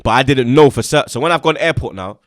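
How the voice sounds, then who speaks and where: A young man with a London accent tells a travel story in loose street slang, his pitch climbing and dropping as he mimics the people he met. The recording is close and dry, with no room sound. He sounds relaxed, like he is chatting among mates. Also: fast talker, no room sound. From England. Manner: animated, slangy, acts out other people's lines. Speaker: podcast host. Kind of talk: casual storytelling chat.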